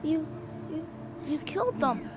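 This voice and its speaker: A woman's voice: sits high, lively intonation, measured pace, very noisy. An actress playing an earnest, frightened little boy in an audio drama. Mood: distraught. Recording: music behind the voice.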